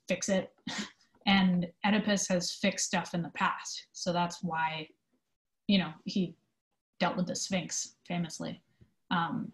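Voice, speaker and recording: A woman answering interview questions in an informal, conversational way, explaining her work thoughtfully with pauses. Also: on the low side. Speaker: theatre company manager being interviewed.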